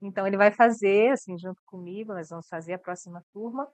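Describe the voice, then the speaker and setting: A woman's voice: medium pitch, quick pace, clean tone, no room sound. A woman from Brazil, a missionary guest, talking earnestly about her mission work and repeating words as she thinks.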